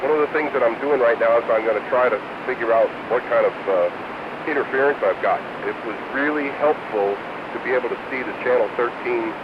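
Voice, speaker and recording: Loud radio noise surrounds a muffled male voice of medium pitch. The man rambles casually and hesitantly, as in an on-air chat between radio operators. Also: a little fast. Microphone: radio transceiver microphone.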